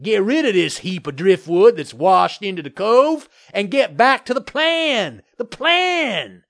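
A man voicing a blustering, bullying land developer in an audiobook story, with a loud, growling, puffing delivery. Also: high-pitched, big pitch swings, a little slow, pitched above the performer's own voice, heavily acted. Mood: angry, complaining.

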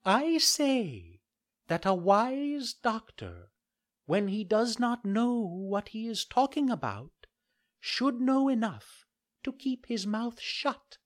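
A narrator voicing a stern male talking cricket who scolds in a very high, piping voice. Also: sing-song, takes it slowly. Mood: scolding. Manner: scolding, preachy.

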